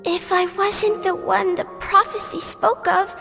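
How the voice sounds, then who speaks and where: Tiny high-pitched moogle creature. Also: female voice, level tone, measured pace, vocal fry, exaggerated voice. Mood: fading, tender. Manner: cutesy, earnest, childlike speech. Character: small childlike loyal moogle companion, brave and sweet. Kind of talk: video game cutscene acting. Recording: long reverb, music behind.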